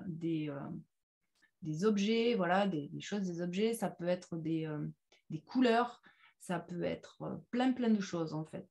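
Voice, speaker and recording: A woman leading an informal online personal development workshop, speaking haltingly and leaning on key phrases with everyday examples. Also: medium pitch, a little mellow. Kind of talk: informal interactive online workshop talk with slides.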